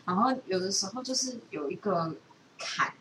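A woman with a Taiwanese Mandarin accent hosts a casual chat, telling stories in an animated, rambling, self-interrupting way. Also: mid-range voice, normal speed. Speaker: podcast host. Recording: roomy, distant, hissy home recording.

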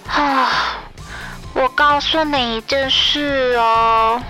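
A high, bright voice at an unhurried pace, a woman playing a teenage schoolgirl in an audio drama. She sounds confiding and a little whiny, the obedient sort, with light music behind her. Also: steady tone, full character voice, rough recording. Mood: hesitant. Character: obedient teenage schoolgirl under a strict father. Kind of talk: Chinese audio drama acting.

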